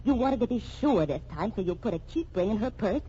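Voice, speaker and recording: A woman's voice: mid-range voice, expressive, fairly quick, mellow, slight background noise, lightly acted. An actress playing a shrewd, sardonic female investigator in a mystery radio drama, with pointed, wry delivery. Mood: accusing. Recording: dull, band-limited old radio recording.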